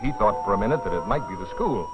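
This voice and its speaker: A man's voice: lowish voice, big pitch swings, fast. A man giving grave, urgent scripted narration in the dramatic style of an educational training film. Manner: grave, urgent storytelling delivery. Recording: dull, lo-fi old educational film soundtrack. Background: dramatic background music.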